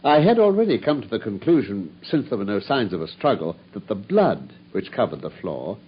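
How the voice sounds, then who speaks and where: An actor playing a coolly brilliant detective, explaining his deductions in a precise, measured way. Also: lowish voice, sing-song, a little hiss or hum. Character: coolly brilliant, self-assured male detective. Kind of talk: 1950s radio drama acting. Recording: dull lo-fi old radio broadcast.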